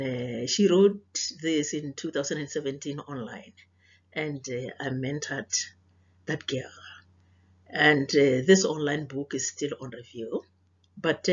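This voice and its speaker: A woman with a South African accent speaking as a teacher to children, deliberate and questioning, presenting a read-aloud in a muffled recording. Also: sits low, slightly rough.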